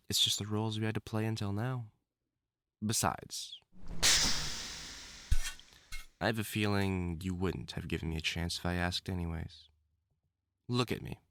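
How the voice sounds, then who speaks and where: A man's voice: on the low side, fairly bright tone, no room sound. A man playing a theatrical male wolf-mutant supervillain in love, teasing and wounded, in a scripted audio roleplay spoken to the listener. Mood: regretful, pleading, then self-loathing, tender. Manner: theatrical, taunting, dramatic swoops and pauses.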